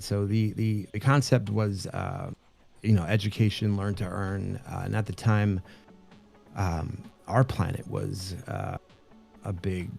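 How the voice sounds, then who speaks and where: A man with a gravelly, mellow low voice chats loosely on a live stream. He stops and restarts often, filling gaps with "um" and "uh". Faint music plays underneath. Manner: halting, many fillers and restarts. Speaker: streamer co-host. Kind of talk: casual live-stream interview.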